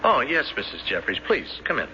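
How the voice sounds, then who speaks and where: A man playing a soft-hearted, hesitant banker in a radio drama, stammering in a mild, apologetic way. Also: medium pitch, huge pitch swings, brisk. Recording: slightly noisy, dull old radio broadcast.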